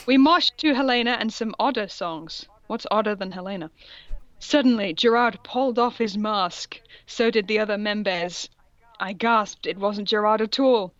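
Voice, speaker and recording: A woman's voice: medium pitch, moderate pace, a bit bright, no echo, highs cut off. A woman reading aloud in a casual comic style, with sardonic, sweary asides and a theatrical touch. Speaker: co-host reading fan fiction aloud.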